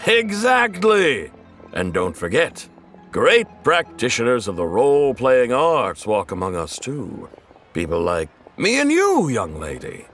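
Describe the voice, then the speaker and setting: Hammy, swooping theatrical gentleman. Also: medium pitch, huge pitch swings, measured pace, soft background music, exaggerated voice. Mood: knowing, jovial. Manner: pompous, hammy, exuberant. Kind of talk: video game cutscene acting.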